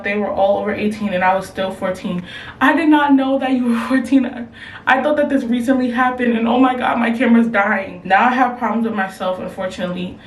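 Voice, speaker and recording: A woman's voice: medium pitch, distant mic, slight background noise. Casting: young woman, frank and slangy advice vlogger, a bit off-mic, roomy room tone. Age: young adult. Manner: blunt, slangy, animated reactions. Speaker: advice vlogger reading submissions. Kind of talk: casual advice chat. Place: room at home. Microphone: camera, later phone.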